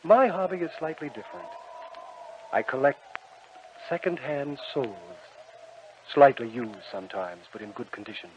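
An actor playing a suave devil who trades for souls, with smooth, wheedling sales patter, in old-time radio acting. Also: male voice, medium pitch, expressive, normal speed, pauses often, lightly acted. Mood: sly. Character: suave smiling devil, a soul-trading salesman. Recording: dull old radio broadcast recording, faint hiss.

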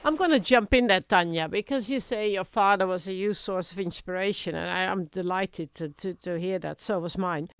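A woman's voice: mid-range voice, smooth, no room sound. A woman co-hosting an interview who introduces the guest and jumps in with pointed questions. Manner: brisk, articulate, jumps in. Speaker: co-host.

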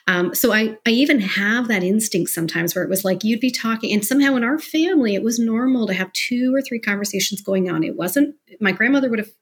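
A woman speaking as an interview guest, talking candidly and discursively about her working life in a conversational, animated way. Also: on the high side, fast, few pauses, slightly rough. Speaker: interview guest, business professional.

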